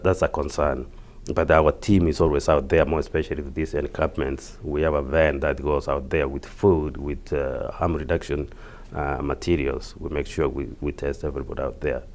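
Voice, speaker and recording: A man with a Kenyan accent explaining things as an interview guest, haltingly and repeating words. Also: rumbling low, darkish tone. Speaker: interview guest, community health project worker.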